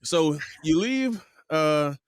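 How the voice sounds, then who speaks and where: A middle-aged man from the United States hosting a podcast, telling stories in a rambling, animated way. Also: on the high side, extremely slow, smooth, dry recording. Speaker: podcast host. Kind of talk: casual interview chat.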